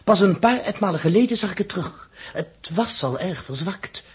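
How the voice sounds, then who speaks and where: Gruff spaceship technician, indistinct old recording. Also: male voice, sits high, lively intonation, moderate pace, full character voice. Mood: intent, fascinated. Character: gruff, secretive, hands-on spaceship technician, stubbornly defensive. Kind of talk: 1960s radio drama acting.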